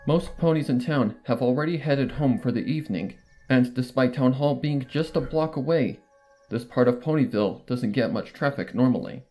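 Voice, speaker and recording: An anxious mare as a fanfic reader plays her narrates a horror tale over clear background music. The delivery is dramatic, tense and expressive, at a middle pitch. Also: moderate pace, lightly acted. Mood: anxious dread. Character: anxious pony narrator of a horror story, female. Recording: music under the reading, played within video.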